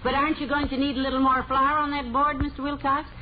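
An actress playing a patient, sensible, friendly housewife, gently chiding, in a 1940s radio comedy. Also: female voice, sits high, flat delivery, fast, natural voice. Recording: very noisy, dull old radio recording.

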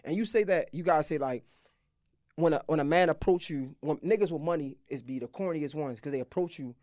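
A man's voice: a little high, brisk, a little mellow, no echo, studio quality. A man in his thirties talking casually and slangily as a podcast interviewer, often repeating words as he forms his points. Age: 30s.

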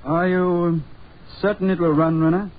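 Actor as stuffy diplomat on muffled old radio. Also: male voice, high-pitched, level tone, normal speed, natural voice. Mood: polite. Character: stuffy, civilized male diplomat, easily irked. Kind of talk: 1940s radio acting. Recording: muffled, noisy 1940s radio recording.

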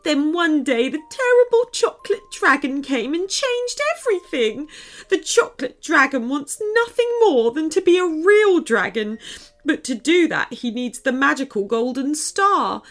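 Woman doing a teary little pointy-eared girl, high, shaky, kinda breathless. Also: big pitch swings, unhurried. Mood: tearful. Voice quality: shaky, tearful and earnest. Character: small pointy-eared girl, tearful and frightened.

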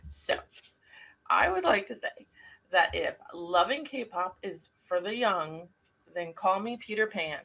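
Middle-aged woman co-host, bright and emphatic, chatty fan talk. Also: mid-range voice, animated, takes it slowly, vocal fry, poor audio. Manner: enthusiastic, emphatic. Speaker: podcast co-host. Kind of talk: casual chat.

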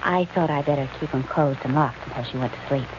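A woman's voice: a bass voice, quick pace. A woman playing a level-headed, caring female companion to a detective, practical and a little hesitant, in 1940s radio style. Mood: casual, concerned. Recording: noisy, muffled old-time radio recording.

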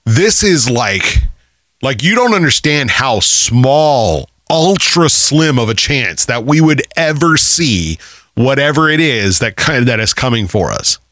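A man's voice: medium pitch, very animated, a bit bright, no room sound. A man co-hosting a casual podcast, talking in a loose, profane way and stretching words out as he makes a point. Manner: loose, profane, drawn-out delivery. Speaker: podcast co-host. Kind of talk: casual chat.

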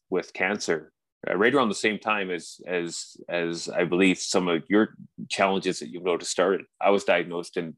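A man's voice: low-pitched, a bit bright, no room sound. A man in his forties with a Canadian accent, talking earnestly in a casual conversation and often restarting his sentences. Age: 40s. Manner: earnest, halting with frequent restarts. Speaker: podcast host.

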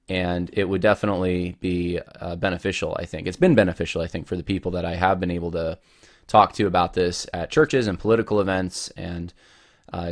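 A man hosting his own podcast, talking casually and rambling with many restarts while he has a cold. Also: low voice. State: has a cold, voice deeper than usual. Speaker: podcast host. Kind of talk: casual solo podcast talk.

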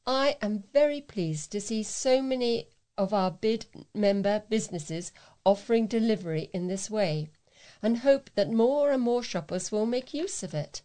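A woman's voice: mid-range voice, mellow. A woman reading local news aloud as a volunteer newsreader, with a careful delivery.

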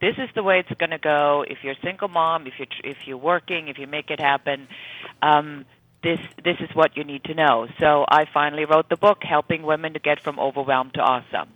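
A woman's voice: low-pitched, fairly even intonation, fairly quick, dry recording. A middle-aged woman from Germany with a German accent, talking emphatically and conversationally as an interview guest over a remote connection. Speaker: guest author and entrepreneur. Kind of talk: interview storytelling. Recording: dull band-limited radio line.

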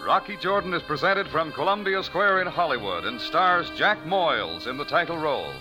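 A man's voice: on the high side, animated, moderate pace, low-quality recording. A man announcing scripted credits in the formal style of 1940s radio. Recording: old radio recording over music.

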